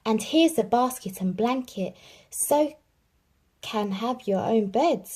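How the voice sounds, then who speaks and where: A woman's voice: on the high side, animated, slow, darkish tone, breathy edge, exaggerated voice. A woman voicing a doting young wife who coos tenderly over a new puppy in a storybook reading. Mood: cooing. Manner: cooing and tender.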